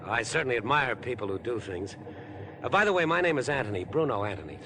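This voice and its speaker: An actor playing an overeager, ingratiating stranger with an obsessive streak, chatty and pushy in 1950s radio drama style. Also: male voice, very animated, quick pace, a crowd in the background. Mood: ingratiating, eager. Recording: 1950s radio broadcast, train rumble behind.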